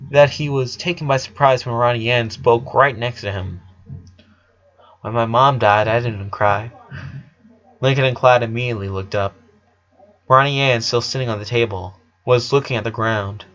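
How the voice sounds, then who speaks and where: Male story reader, mid-pitched, occasional slips in the reading, muffled lo-fi sound. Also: fairly bright tone. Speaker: audiobook narrator of fan fiction. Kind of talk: scripted story narration. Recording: dull lo-fi sound.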